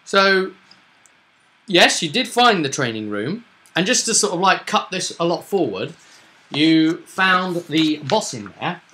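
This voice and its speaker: A man's voice: fairly high pitch, big pitch swings, measured pace, pushed and bright. A man casually recapping a tabletop roleplaying session as game master, with a storyteller's phrasing and tag questions.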